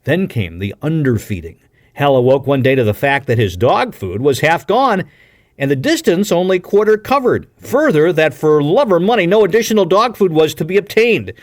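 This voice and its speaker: Guy reading an adventure novel out loud, really expressive and dramatic, dry close sound. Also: on the high side, moderate pace, dry recording. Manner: dramatic, emphatic storytelling delivery. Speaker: audiobook reader. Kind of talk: expressive scripted narration of a classic adventure novel.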